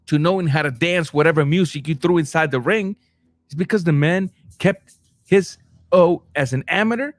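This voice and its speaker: A man from Cuba, hosting a casual boxing livestream and reading viewer comments, animated, stumbling over his words and jumping up in pitch. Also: moderate pace, no room sound. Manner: animated, repeats words and restarts, rising to high bursts.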